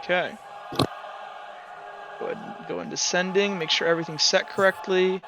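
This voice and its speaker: A man running a tabletop roleplaying game as game master in a casual, halting way, thinking aloud as he narrates. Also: sits high, bright, forceful tone.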